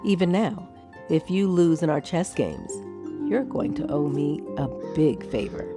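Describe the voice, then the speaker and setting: A woman's voice: deep voice, animated, unhurried, music in the background. An actress playing a poised, wry noblewoman of a wizard's tower in a fantasy audio drama. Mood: wry, amused. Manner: dry, amused, composed.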